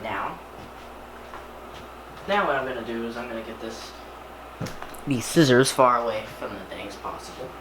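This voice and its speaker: Man casually narrating, noisy home recording. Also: on the low side. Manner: informal, narrates each small action aloud. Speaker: hobbyist video creator presenting an unboxing. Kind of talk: casual hands-on unboxing commentary.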